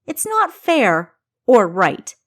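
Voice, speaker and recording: A woman acts out a proud young female wrestler from a storybook, full of indignation. Her pitch climbs and swings widely as she argues, emphatic and exasperated. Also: huge pitch swings, takes it slowly, full character voice. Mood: fuming.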